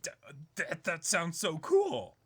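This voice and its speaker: A man's voice: a little high, wildly swooping pitch, takes it slowly, breathy edge. A young man co-hosting an unboxing show, chatting casually and sometimes reading aloud. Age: young adult. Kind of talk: casual chat, some letter reading. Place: indoor room, seated.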